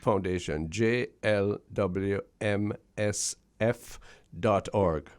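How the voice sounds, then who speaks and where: A man's voice: on the low side, extremely slow, smooth. A man from Jamaica hosting a radio talk show, asking questions emphatically and stumbling over his words.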